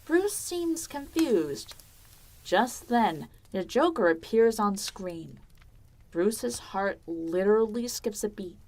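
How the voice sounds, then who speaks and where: A woman's voice: medium pitch, lively intonation, slow, darkish tone. A woman narrating a scripted audio drama as storyteller.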